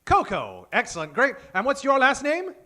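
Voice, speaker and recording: High-pitched, bright theatrical male show host. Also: wildly swooping pitch, moderate pace. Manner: theatrical, showman-like, projecting to an audience. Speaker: live comedy show host. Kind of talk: old-time 1940s radio emcee patter, improvised. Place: on stage in a theater before a live audience.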